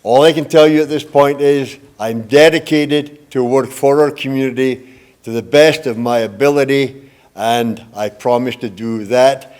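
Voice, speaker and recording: An older man speaks as a candidate at a forum, introducing himself in a measured, earnest, plain-spoken way. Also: medium pitch, a little mellow. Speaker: mayoral candidate. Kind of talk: prepared campaign speech at a forum.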